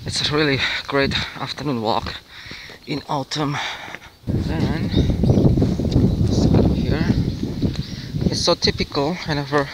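A man casually narrating his walk along an outdoor trail, chatty and relaxed, recorded on a handheld phone. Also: a little high, slow, very noisy. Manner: relaxed, chatty, pointing things out. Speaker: vlogger. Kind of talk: casual walking commentary. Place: outdoors on a hillside city wall trail. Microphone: handheld phone camera.

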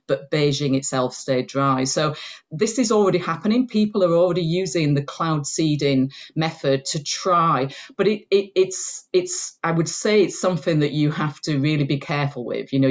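A woman in her forties answers as a guest expert on a video call. She explains clearly, in a steady flow. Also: deep voice. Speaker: chief executive of a science society, guest expert. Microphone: earphone headset on a video call. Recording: dull lo-fi video-call audio.

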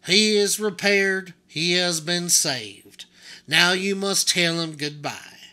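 A man voicing a stern older male doll mender who speaks loudly and firmly. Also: sits high, takes it slowly, pitched above the performer's own voice, heavily acted. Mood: commanding. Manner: loud, firm and drawn out.

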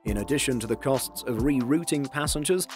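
Male narrator for news explainer video: mid-pitched, measured, clear articulation, music bed underneath. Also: a little mellow.

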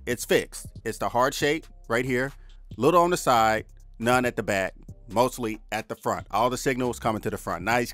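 A middle-aged man with a deep baritone voice, chatting casually to viewers while reviewing studio gear from his home studio. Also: fairly bright tone, no echo. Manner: laid-back, chatty, addresses viewers directly. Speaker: music producer reviewing gear. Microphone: large studio condenser mic on boom, headphones on.

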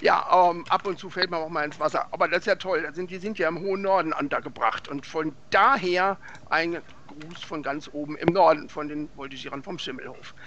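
A middle-aged man co-hosts a live stream, talking into a headset from a room at home. His voice sits a little high and sounds bright and forward. His manner is chatty and upbeat, with a faint hiss behind him. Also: fairly even intonation, brisk, muffled recording. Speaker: co-host of an online vaulting show. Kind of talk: casual live-stream hosting. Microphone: headset on a video call.

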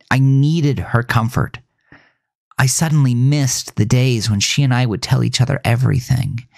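A man's voice: medium pitch, creaky, no room sound, clean studio sound. A man narrating a first-person horror story in a brooding, theatrical, dramatic way. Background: faint music.

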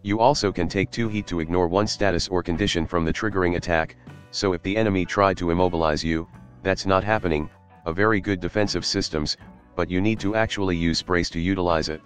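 A man's voice: low voice, steady tone, fairly quick. A man reading a scripted voice-over review of a tabletop game, casual and swearing now and then. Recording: light guitar music behind.

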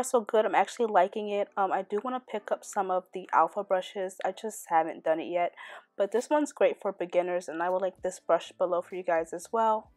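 A woman's voice: medium pitch, a little mellow, no room sound. A young woman recording a chatty nail tutorial voice-over, talking straight to her viewers and sounding a bit nasal from a cold. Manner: chatty, enthusiastic, addresses viewers as you guys. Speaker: beauty vlogger.

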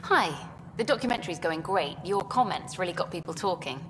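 An actress voicing an eager, upbeat female documentary journalist in a video game, talking quickly and pushing for answers. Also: lowish voice, animated, long reverb tail, music in the background, close to an everyday voice. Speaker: documentary filmmaker character.

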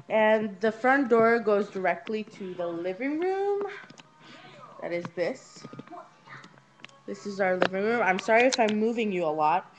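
A young woman giving a casual, rambling home tour on a webcam, informal and a little hesitant as she goes. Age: teenager to young adult. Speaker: vlogger. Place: rooms of a small house. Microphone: handheld webcam, moved around. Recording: noisy low-quality webcam audio.

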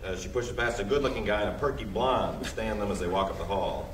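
A man reading stage directions aloud at a table read, relaxed and chuckling between lines. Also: on the low side, brisk. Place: large room, seated at a long table. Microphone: far camera. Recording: echoey room, far from mic, loud hiss.

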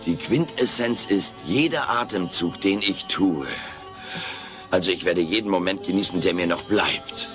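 A man's voice: low-pitched, measured pace, music in the background. A man playing a wisecracking, theatrical alien creature in a German comedy audio play, talking in a pompous, melodramatic way. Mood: philosophical. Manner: pompous, melodramatic, mock-philosophical.

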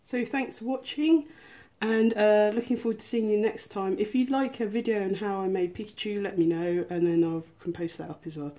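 A woman's voice: medium pitch, fairly even intonation, normal speed, dark tone. A woman hosting a casual home cooking tutorial, explaining each step in an informal, chatty way. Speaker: host of a miniature cooking channel.

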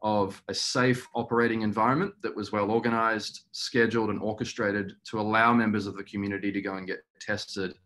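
A middle-aged man answering as a company executive in a webinar interview, speaking earnestly and in a measured, halting way. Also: fairly low pitch, flat delivery, a bit bright. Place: small indoor room, video call.

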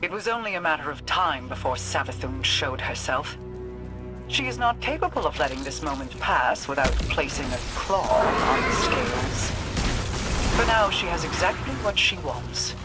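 A woman's voice: sits low, sing-song, moderate pace. A woman playing a haughty, calculating fantasy queen in a video game, regal, cool and precise. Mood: cool, resolute. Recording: clear music behind the voice.

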